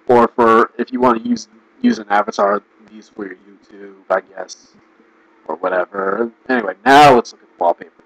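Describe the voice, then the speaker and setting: A man giving casual, rambling commentary, restarting sentences and laughing often, his voice going hoarse. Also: medium pitch, no echo, rough recording. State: losing his voice. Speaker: video commentator.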